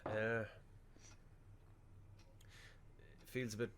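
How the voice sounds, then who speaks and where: Irish actor as bumbling male undertaker, lower register, well-meaning, rambling and hesitant, radio drama. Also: flat delivery, fast talker, noisy background, close to an everyday voice. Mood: awkward, chatty. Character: awkward, chatty, well-meaning male funeral director. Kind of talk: radio play acting.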